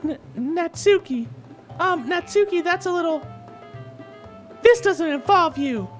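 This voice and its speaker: A man's voice: extremely high pitch, lively intonation, unhurried, soft background music, voice pushed up. A man voicing a shy, refined, bookish anime schoolgirl who speaks formally and hesitantly, in a visual novel read-aloud. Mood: flustered. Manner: hesitant, stammering, formal wording.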